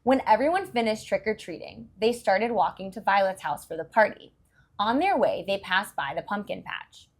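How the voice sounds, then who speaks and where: A woman's voice: medium pitch, lively intonation, moderate pace. A woman reading a storybook aloud to young children, with encouraging, explanatory asides.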